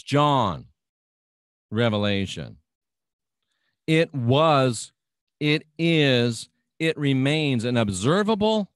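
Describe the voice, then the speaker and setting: A man's voice: mid-range voice, no room sound, studio quality. An American man hosting a radio show, delivering an insistent, preachy monologue and stacking up repeated phrases for emphasis.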